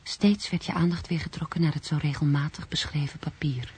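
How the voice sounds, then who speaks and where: A woman playing a hushed, watchful storyteller in a radio drama, narrating with a breathy, half-whispered voice. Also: low-pitched, steady tone, fairly quick, a little hiss or hum, close to an everyday voice. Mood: hushed, measured. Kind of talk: scripted second-person radio drama narration.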